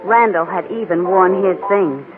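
An American actress playing a brisk, sharp newspaperwoman in a 1940s radio crime drama. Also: lowish voice, close to an everyday voice. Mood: matter-of-fact. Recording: tinny 1940s radio recording with music.

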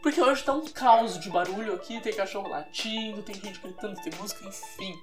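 A woman's voice: medium pitch, brisk, over music. A woman with a Brazilian accent hosting a podcast in a casual, chatty, informal and playful way. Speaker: podcast host.

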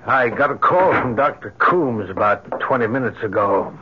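An actor playing an earnest, shaken minister in old-time radio drama, grave and dramatic, on a hissy old recording. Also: male voice, mid-range voice, animated, normal speed, muffled recording, close to an everyday voice. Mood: concerned. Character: earnest, shaken minister, skeptical turning fearful.

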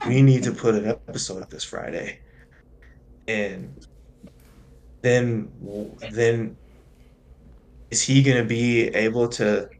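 A man co-hosting a podcast, speaking thoughtfully in casual chat and often restarting phrases as he searches for words. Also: fairly low pitch. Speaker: podcast co-host.

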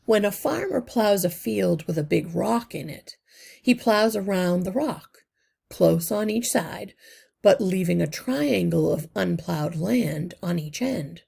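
A woman voicing an old, wise male rat leader in a storybook, measured and courteous as he explains. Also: lowish voice, lively intonation, vocal fry, warm tone, husky, exaggerated voice.

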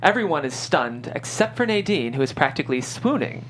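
A woman's voice: low voice, sing-song, brisk, soft background music. A woman hosting a show, reading script directions and interviewing writers. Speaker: show host and script narrator.

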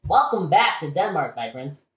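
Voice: mid-range voice, very animated, normal speed, natural voice. An actor playing a fawning, flustered male courtier in a comedic audio play, hesitant and deferential. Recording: roomy echo.

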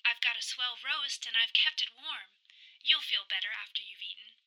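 A woman's voice: a little high, normal speed, sharp tone, low-quality recording. A woman playing an anxious, devoted wife in a radio drama, tender and pleading.

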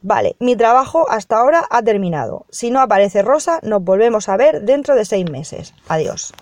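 A woman from Spain playing a formal, businesslike lawyer in a radio soap, speaking in a measured way. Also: a little high, sing-song, darkish tone, slight background noise. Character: formal, businesslike female lawyer reading a will. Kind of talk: amateur radio soap acting.

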